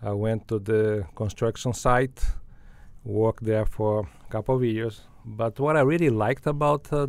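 A man's voice: fairly low pitch, a little mellow, no echo. An older man from Brazil, a guest answering interview questions thoughtfully, with frequent fillers and restarts. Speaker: guest, business school dean.

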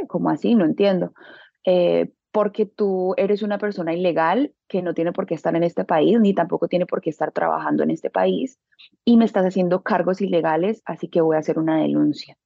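Casting: Colombian woman, husky with vocal fry, colloquial and expressive storyteller in an informal interview. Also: lowish voice, no room sound, dull audio. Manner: colloquial, expressive, acts out quoted dialogue. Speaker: interview guest, beauty business owner. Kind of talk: casual personal storytelling.

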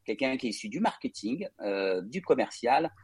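A man's voice: medium pitch, sing-song, moderate pace, darkish tone, husky. A man from Paris, cofounder of a real estate company, telling his career story as an interview guest with frequent hesitation fillers. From Paris, France. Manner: fluent, many hesitation fillers.

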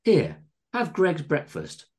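Doting, long-suffering housewife, as played by a man: fussy, fretful, high and swooping, for a comic story. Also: huge pitch swings, slow pace, full character voice.

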